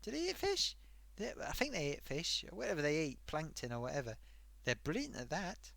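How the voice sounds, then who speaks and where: A man's voice: fairly high pitch, huge pitch swings, a little fast, creaky, fairly bright tone, dry recording, full character voice. A man voicing a chatty sock puppet host who rambles off topic and talks to viewers, his throat getting sore. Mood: rambling. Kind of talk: rambling comedic live-stream monologue.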